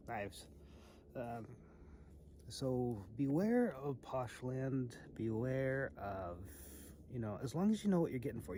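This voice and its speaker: A man in his thirties talks casually into a phone held at arm's length outdoors. He rambles through a review with a wry, hesitant manner and drifts off mid-thought. There is some light noise around his mid-pitched voice. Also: mellow. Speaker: amateur knife reviewer. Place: outdoors in a snowy open river valley.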